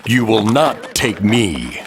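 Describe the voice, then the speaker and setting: An actor playing an older male mentor and army general in an audio drama, speaking in a measured, patient, enigmatic way. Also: on the low side, sing-song, slight background noise. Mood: stern, defiant.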